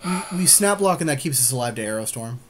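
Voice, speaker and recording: A man's voice: on the high side, lively intonation, quick pace. A man co-hosting a gaming podcast, chatting casually in short, agreeable remarks while playing a card game. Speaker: podcast co-host commentating on own card game play.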